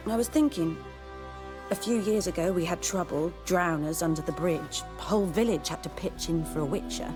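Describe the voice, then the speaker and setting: An actress playing a cool, bitter and knowing village herbalist in a fantasy game, measured and wry. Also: female voice, on the low side, close to an everyday voice. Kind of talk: video game voice acting. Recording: music behind the voice. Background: music.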